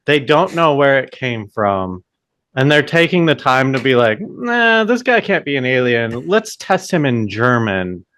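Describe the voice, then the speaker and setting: A man's voice: mid-range voice, very animated, unhurried, fairly bright tone, no echo. Wry, joking man, closely recorded and dry. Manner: wry, joking, questioning, sweary. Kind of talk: casual podcast chat.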